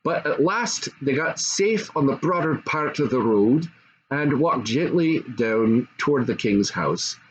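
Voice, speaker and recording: A man's voice: medium pitch, expressive, unhurried. An older man reading a classic fairy story aloud as a storybook narrator. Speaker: storybook reader. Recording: dull home recording with faint noise.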